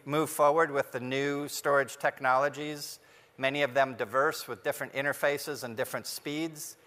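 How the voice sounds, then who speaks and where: A man giving a prepared keynote presentation on a large conference stage, speaking in measured, deliberate phrases with pauses. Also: medium pitch, pushed and bright. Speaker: technology executive giving a keynote. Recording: hall reverb from a large venue.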